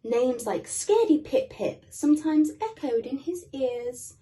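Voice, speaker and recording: British lady reading a picture book to little kids, high soft-toned voice, bit far from the mic. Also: measured pace. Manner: expressive, addresses young listeners. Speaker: guest reader. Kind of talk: children's storybook reading with asides.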